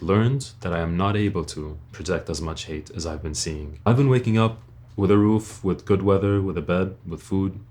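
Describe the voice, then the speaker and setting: A young man from Lebanon with a very low-pitched voice delivers an earnest monologue straight to his audience. He talks bluntly and informally, as an online creator would, and leans on rhetorical questions. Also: darkish tone. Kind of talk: earnest personal monologue to camera.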